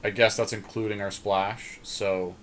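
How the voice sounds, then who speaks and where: A man co-hosting a podcast, giving casual game commentary as he deliberates aloud and hedges. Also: on the low side, flat delivery. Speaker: podcast co-host. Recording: clearly audible background noise.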